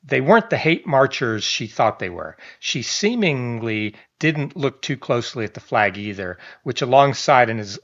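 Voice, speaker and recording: A man talking through a news story for his online channel. His voice sits at an ordinary middle pitch, and he mixes reading with loose, chatty asides, stumbling restarts and small chuckles. The audio sounds a little dull and lo-fi. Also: a bit bright. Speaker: online commentator. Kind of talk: reading a news article aloud with casual commentary.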